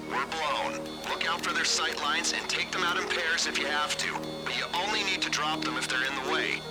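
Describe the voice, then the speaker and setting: Casting: male actor as intense, sardonic heist handler for a game, higher-pitched male voice, bossy instructions over loud music. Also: close to an everyday voice, rough recording. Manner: sardonic, controlling, clipped instructions. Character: cocky, intense male covert operative running a heist. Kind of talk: video game dialogue, mission briefing.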